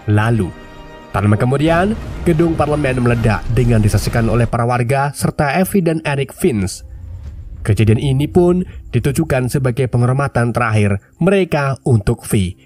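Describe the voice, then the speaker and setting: A man's voice: mid-range voice, animated, normal speed, over music. A man narrating a film recap in a casual scripted style. His voice is stuffy and nasal from a cold.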